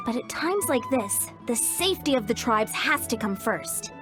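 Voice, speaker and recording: A woman acts a cautious, dutiful warrior for a video game. Her voice is somewhat high with lively intonation, and she sounds serious and concerned. Music plays in the background. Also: moderate pace, natural voice.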